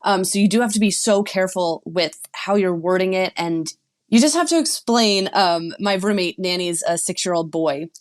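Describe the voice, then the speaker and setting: A woman's voice: lowish voice, expressive, normal speed. A woman sex and relationship coach, a podcast guest, giving frank and encouraging advice. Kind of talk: podcast interview.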